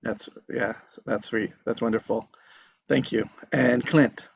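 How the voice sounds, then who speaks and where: A man's voice: medium pitch, fairly even intonation, takes it slowly, vocal fry, husky, dull audio. A man hosting a casual live session and introducing participants in an informal way. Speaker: host introducing table read cast.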